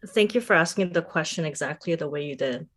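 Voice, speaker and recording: A middle-aged woman educator speaks as a podcast guest. Her voice sits fairly low, and she explains herself in a thoughtful, measured, conversational way. She is from Singapore and Thailand. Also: fairly bright tone. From Singapore and Thailand, born in South Korea. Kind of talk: conversational interview.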